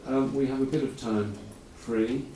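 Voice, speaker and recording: A man's voice: mid-range voice, fairly even intonation, measured pace, warm tone, a little hiss or hum. A man hosting a conference, talking informally in a rambling, self-correcting way with dry asides. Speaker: conference host. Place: lecture hall. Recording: roomy hall, voice from a distance.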